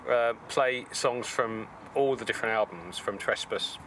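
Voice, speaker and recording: A man's voice: mid-range voice, unhurried, rough recording. Man explaining at length outdoors. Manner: explanatory, detailed, steady. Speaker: tribute band founder. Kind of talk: casual interview chat. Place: outdoors outside a pub. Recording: outdoor street noise with passing traffic.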